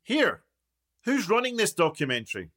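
A man acts out a self-important documentary maker in a radio play. His voice sits fairly high, and he runs things briskly and officiously, with little patience. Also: wildly swooping pitch, sharp tone, heavily acted. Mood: impatient. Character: self-important, impatient male documentary maker hosting an online meeting. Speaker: documentary interviewer.

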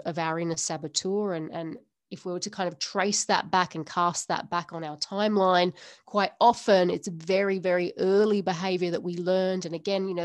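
A woman's voice: fairly low pitch, no room sound. A woman hosting a solo podcast, talking earnestly to listeners in a string of repeated rhetorical questions. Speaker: podcast host. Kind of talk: solo podcast coaching talk.

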